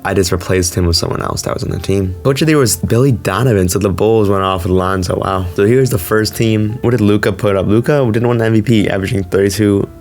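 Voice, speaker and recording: A man's voice: fairly low pitch, fast, few pauses, a little mellow. A man who is slightly sick, hosting a gaming video with casual, chatty commentary on a basketball game. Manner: informal, chatty. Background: background music.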